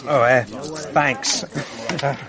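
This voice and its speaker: An actor playing an affable, down-to-earth police sergeant in a radio drama, easygoing and dryly humorous. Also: male voice, medium pitch, very slow. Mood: obliging. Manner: easygoing, chatty, dry humour. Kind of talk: radio mystery drama acting. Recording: busy canteen crowd behind the voice. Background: chatter of a crowded canteen.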